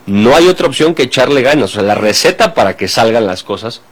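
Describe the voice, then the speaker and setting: A man appearing as the expert guest on a radio talk show, rattling through his explanations at a brisk clip. His voice sits at an ordinary male pitch, and he drives his points home emphatically. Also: animated. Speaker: finance and education expert guest.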